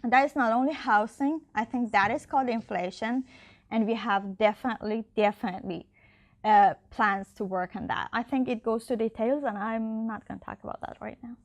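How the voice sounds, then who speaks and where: A young woman with a somewhat high voice answers an interviewer's questions as an election candidate. She speaks hesitantly, full of ums and self-corrections. English is not her native language. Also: fairly quick. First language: not Icelandic. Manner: hesitant, many fillers and restarts.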